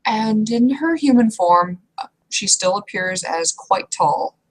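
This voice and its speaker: A woman narrating a tabletop roleplaying game as game master in a halting, conversational, improvised way. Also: mid-range voice, lively intonation, low-quality recording. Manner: halting, conversational, piecing descriptions together. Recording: clear background noise.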